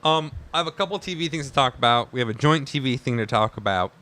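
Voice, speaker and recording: A man of average pitch who co-hosts a casual podcast. He teases his partner, argues back readily and swears now and then. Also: lively intonation, fast, sharp tone. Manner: teasing, argumentative, swears. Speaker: podcast co-host and producer. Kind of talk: casual chat.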